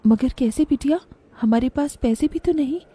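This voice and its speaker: A woman's voice: high-pitched, moderate pace, mellow, lightly acted. A woman voicing a poor, tender, careworn village mother in a children's cartoon story, earnest and emotive. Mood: doubtful.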